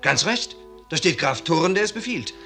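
A man playing a curious, brisk soldier in a 1950s German radio drama. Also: a little high, animated, sharp tone. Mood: eager. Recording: 1950s radio recording, music behind.

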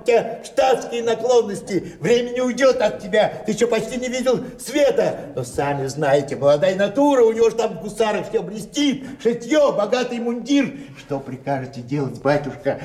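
An actor playing an old father in a radio play, a sentimental provincial gentleman who pleads and thanks with fawning, effusive politeness. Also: sits high. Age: older. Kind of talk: theatrical radio play acting. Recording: roomy, distant stage-like recording.

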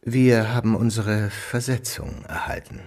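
An actor playing a chatty but uneasy traveling musician, husky and creaky, in a radio drama. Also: male voice, fairly low pitch, lively intonation, measured pace, darkish tone. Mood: uneasy relief. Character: anxious, chatty traveling musician newly arrived.

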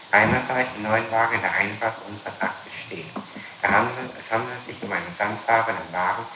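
Low-voiced man on a noisy old interrogation tape. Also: heavy reverb. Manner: halting, formal officialese. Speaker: police interrogator. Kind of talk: police interrogation, reading out a witness statement. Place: reverberant room indoors.

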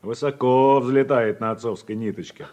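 An actor playing a wry, sarcastic harvester driver in a 1980s radio drama. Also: male voice, medium pitch, normal speed, a little mellow, highs cut off, close to an everyday voice. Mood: sardonic. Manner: sardonic.

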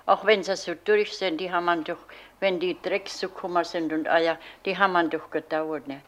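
A woman's voice: on the low side, fairly even intonation, moderate pace. A woman chatting in an informal interview, rambling in colloquial dialect. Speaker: villager being interviewed. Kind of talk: spontaneous interview chat.

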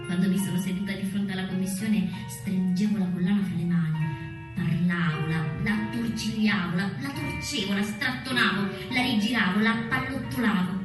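An actress playing a wistful, dreamy young woman in a radio play, telling her memories in a monologue with deliberate repetitions. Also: medium pitch, steady tone, natural voice. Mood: reflective. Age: young adult. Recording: echoey, distant recording with music behind. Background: music.

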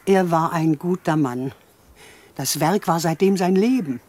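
An actress playing a plain-spoken, resigned elderly widow in a radio drama. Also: female voice, lowish voice, a little slow, darkish tone. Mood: resigned, plaintive. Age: older.

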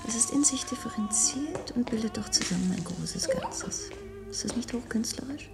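An actress playing a refined, gushing woman in a radio play who admires high art in an affected, reverent way. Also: wildly swooping pitch, normal speed, loud music behind the voice. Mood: gushing, sweet. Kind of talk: 1970s radio play acting.

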